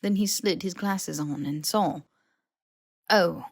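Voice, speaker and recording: A woman reading fiction as a scripted audiobook narration, in an expressive, wry storytelling manner. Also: fairly low pitch, slow pace, gravelly, breathy edge, no echo, clean studio sound. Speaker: podfic narrator.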